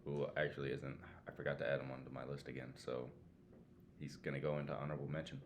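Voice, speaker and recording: Very deep-voiced slangy man. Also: little pitch movement, quick pace, gravelly, slight background noise. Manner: slangy, swearing, emphatic. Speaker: podcast co-host. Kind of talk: casual chat ranking favourites.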